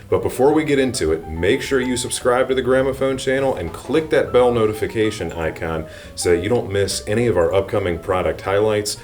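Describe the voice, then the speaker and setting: A man with a very deep voice hosts a product review. He explains features in an enthusiastic, presenterly way. Faint music plays behind him. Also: darkish tone. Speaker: product reviewer and channel host. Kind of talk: scripted product review narration to viewers.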